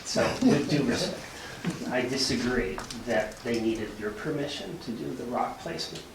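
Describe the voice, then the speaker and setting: A man's voice: medium pitch, lively intonation, vocal fry. Lawyerly man, distant in a noisy room. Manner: assertive, lawyerly, measured. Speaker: attorney speaking for property owners. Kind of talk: formal argument at a public meeting. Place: indoor town board meeting room. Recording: roomy, distant mic, noisy hiss and clicks.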